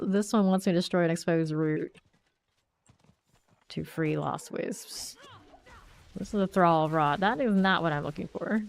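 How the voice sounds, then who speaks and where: A woman's voice: on the low side, creaky, darkish tone. A woman streaming a game, talking casually to herself as she plays and weighs her choices aloud. She sounds congested and sniffly from a cold. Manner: thinks aloud, chatty and self-questioning. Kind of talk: casual gameplay commentary.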